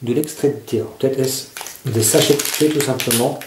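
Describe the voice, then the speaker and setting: A man's voice: medium pitch, fairly even intonation, a little fast, background noise. A man casually reviewing survival gear to camera. He often hesitates and searches for his words. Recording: echoey room.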